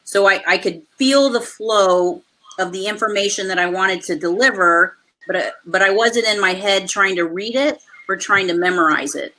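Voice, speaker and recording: Loud, bright-voiced woman host. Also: medium pitch, moderate pace, poor audio. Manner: loud voice that carries; energetic, chatty coaching. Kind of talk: live webinar coaching. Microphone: video call.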